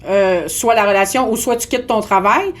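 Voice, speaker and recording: A woman's voice: mid-range voice, moderate pace. A woman with a Québécois French accent hosting a casual radio interview, chatty and playful, often jumping in with questions. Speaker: radio show host.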